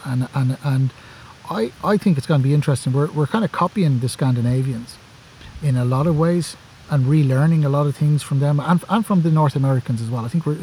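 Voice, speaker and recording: Enthusiastic Irish man, mellow voice. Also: medium pitch, slight background noise, low-quality recording. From Ireland. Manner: enthusiastic, repeats words while thinking. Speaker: guest, forestry worker. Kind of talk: casual podcast conversation. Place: outdoors among camping gear.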